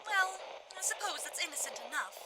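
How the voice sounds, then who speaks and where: A woman playing a nosy, excitable gossip phoning a radio show, theatrical and prying, on a noisy line. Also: extremely high pitch, fairly quick, exaggerated voice. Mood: flustered. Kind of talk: old-time radio comedy acting.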